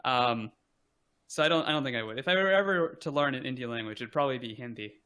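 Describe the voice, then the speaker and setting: A man's voice: medium pitch, fast talker, smooth. A young man chatting casually as a podcast guest, rambling with lots of "like" and self-deprecating asides. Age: young adult. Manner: relaxed, rambling, frequent "like" fillers, self-deprecating. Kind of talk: casual conversation.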